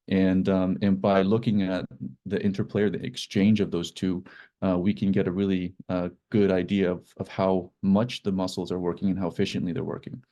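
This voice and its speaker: Low-voiced man, hesitant speaker. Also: mellow. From Iceland. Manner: hesitant, halting delivery with repeated words. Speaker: startup co-founder, guest. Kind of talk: informal explanatory talk about own work.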